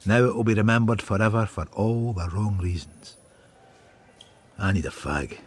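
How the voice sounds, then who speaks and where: A man's voice: on the low side, moderate pace, husky. A man playing a world-weary Scottish detective, narrating a radio drama in terse, observant phrases. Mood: weary, brooding.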